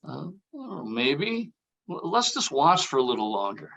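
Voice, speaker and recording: A man acting an awkward, nervous, evasive character in a script table read, halting and stammering as he goes. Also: a little high, big pitch swings, vocal fry, fairly bright tone, heavily acted. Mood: wry, uneasy.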